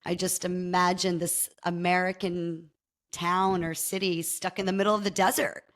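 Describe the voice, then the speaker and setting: A woman's voice: lowish voice, flat delivery, moderate pace. A woman hosting a podcast interview, curious, asking questions and reacting with short remarks. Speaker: podcast host. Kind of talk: casual interview chat.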